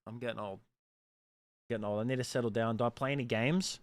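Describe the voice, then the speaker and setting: A man's voice: medium pitch, fairly even intonation, quick pace, dry recording. Blunt, profane Australian streamer guy. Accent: mild Australian. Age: late 20s. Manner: profane, blunt, filler-heavy. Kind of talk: casual chat reacting to viewer comments.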